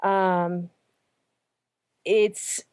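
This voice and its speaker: A woman's voice: fairly low pitch, flat delivery, extremely slow, darkish tone, clean tone, no echo. Southern US woman, teacher guest, easygoing, dry close sound. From Atlanta, Deep South US. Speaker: fifth-grade teacher. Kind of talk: casual interview guest.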